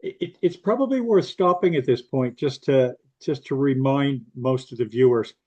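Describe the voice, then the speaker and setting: A man's voice: mid-range voice, normal speed, darkish tone. Man hosting an interview, dull audio. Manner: explains context for viewers, filler-laden. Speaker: interview host.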